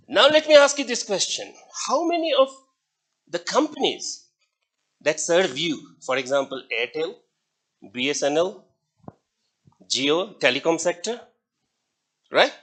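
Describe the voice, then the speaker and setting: A man's voice: high voice, a bit bright. A middle-aged man with an Indian English accent, a native Hindi speaker, giving a classroom lecture, telling stories and putting questions to the class with small chuckles. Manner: asks the class questions, chuckles between points.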